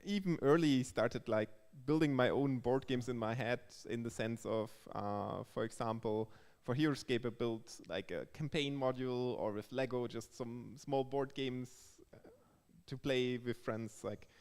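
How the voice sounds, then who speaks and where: A man's voice: medium pitch, unhurried, a little mellow. A man from Germany with a German accent, talking casually as an interview guest about his work. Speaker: guest, board game developer. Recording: echoey hall with long reverb.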